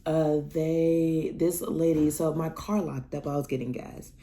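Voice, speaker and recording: Animated young woman telling stories, mellow. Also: unhurried. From Nebraska. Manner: animated, acts out dialogue with big pitch jumps. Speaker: vlogger. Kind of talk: casual storytelling vlog.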